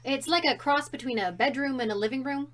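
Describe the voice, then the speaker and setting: An actress playing a wry, practical wife and mother who makes teasing deadpan quips in an audio drama comedy. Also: high voice, fairly quick. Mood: wry, curious.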